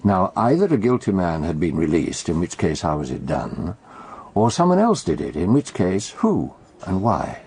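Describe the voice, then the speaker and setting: A man acting in a British radio detective drama, playing a theatrical, deliberate amateur detective who tells his cases with pointed pauses and a British accent. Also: deep voice, big pitch swings, darkish tone, husky, full character voice. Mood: teasing, deliberate.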